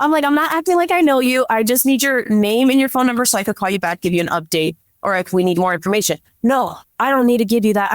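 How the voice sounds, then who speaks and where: Female podcast guest, pitch a little high. Informal, chatty storyteller who acts out bits of dialogue, recorded close and dry. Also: no room sound. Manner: chatty, informal, heavy use of "like", quotes dialogue. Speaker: podcast guest, police dispatcher. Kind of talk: casual anecdotal storytelling.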